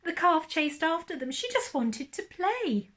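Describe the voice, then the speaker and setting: A woman narrating a children's storybook like a primary school teacher, expressive and lingering on key words. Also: very high voice, a little slow.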